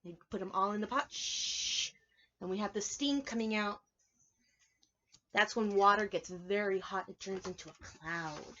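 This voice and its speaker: A woman's voice: mid-range voice, a little slow, fairly bright tone, lo-fi sound. A woman preschool teacher reading a picture book aloud to young children, explaining it simply and asking them questions. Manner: instructive, simple phrasing, asks children questions.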